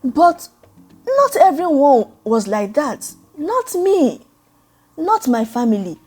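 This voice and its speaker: A woman's voice: sits high, very animated, slow pace, a little mellow, soft background music, voice pushed up, full character voice. A woman voicing a betrayed young man in a story, pained and disbelieving. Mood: hurt protest. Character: shocked, hurt young man, gentle and betrayed.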